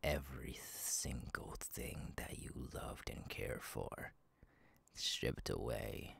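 A man voicing a sadistic masked killer in an ASMR roleplay, husky and taunting, slow and halting, often dropping to a whisper. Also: a bass voice, a bit bright, no echo, heavily acted. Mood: coldly taunting. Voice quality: husky, gravelly. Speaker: voice actor, ASMR artist. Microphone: close, dry microphone.

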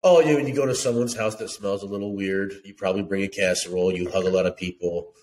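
A man's voice: low-pitched, fairly even intonation, fast talker, darkish tone. A man in his thirties, congested and laid-back, chatting on a video call headset. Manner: laid-back, crude humor. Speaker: podcast co-host. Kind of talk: casual chat.

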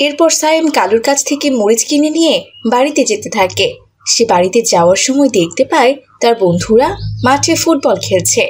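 A woman's voice: fairly high pitch, expressive, a little fast, darkish tone, slightly rough. A woman narrator reading scripted storybook narration for a cartoon.

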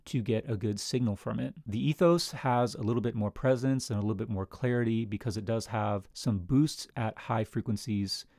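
A man in his thirties talks casually to camera as he reviews equipment. His voice sits on the low side and is captured very dry on a close studio microphone in a small home room. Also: a little mellow, dry recording. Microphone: studio broadcast mic on a desk stand, close.

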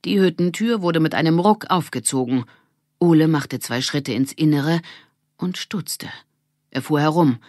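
A woman reading a children's audiobook as an expressive scripted narrator. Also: sits low, a little slow, no echo, studio quality.

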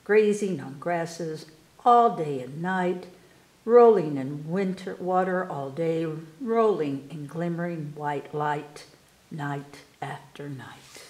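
A woman reading children's poems aloud at storytime, expressively and with careful, animated delivery for young listeners. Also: sits low, roomy sound. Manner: animated, unhurried, enunciating for children. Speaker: library storytime reader. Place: indoors in a room, book filmed on table.

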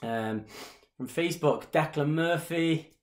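A man's voice: on the high side, takes it slowly. A man in his thirties with a Mancunian Irish accent, chatting casually with viewers during a live stream from his living room. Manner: chatty, gestures a lot while talking. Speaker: dance instructor answering viewer questions. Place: small living room at home, indoors. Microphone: webcam at desk distance. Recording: roomy home webcam sound.

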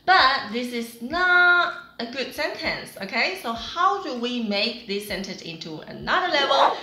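A woman's voice: fairly high pitch, unhurried, roomy sound, a little hiss or hum. A woman in her thirties from Taiwan with a Taiwanese accent, giving a cheerful online Mandarin lesson in English, which is not her first language, and talking with her hands. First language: Mandarin Chinese. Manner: cheerful, animated, gestures with both hands. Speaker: Chinese teacher. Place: small room with whiteboard, indoors.

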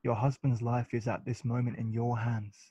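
Casting: man playing urbane English gentleman, dry and articulate, soft-edged husky voice, slightly dull recording, period radio drama. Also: medium pitch, flat delivery, normal speed, natural voice. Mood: earnest persuading. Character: witty, urbane English gentleman, unflappable son. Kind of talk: radio play acting.

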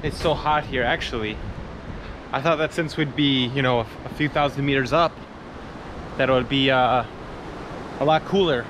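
A man's voice: medium pitch, normal speed, pauses often. American guy in his 30s vlogging on a selfie cam, hyped and casual, noisy street and car sounds behind. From United States. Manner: excitable, exclaiming, casual. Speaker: travel vlogger. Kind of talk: travel vlog, talking to camera. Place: outdoors in a mountain village and in a car. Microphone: handheld action camera at arm's length. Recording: noisy outdoor ambience.

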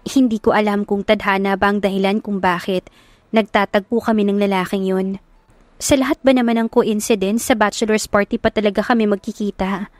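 A woman voicing a soft-spoken, sensitive young working woman in a romance audio story. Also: mid-range voice, no echo, close to an everyday voice. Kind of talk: acted dialogue in Tagalog romance audio story.

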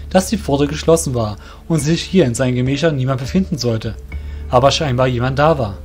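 A man's voice: medium pitch, darkish tone. Male storyteller over faint music. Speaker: narrator. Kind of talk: scripted audio drama narration.

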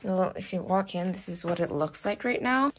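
A woman's voice: on the low side, normal speed, a little hiss or hum. A young woman talking casually to her phone camera in her bedroom while she tidies up. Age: young adult. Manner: relaxed, chatty, talks to the camera. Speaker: vlogger. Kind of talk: casual vlog narration. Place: home bedroom, indoors. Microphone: phone camera held close. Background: lo-fi background music.

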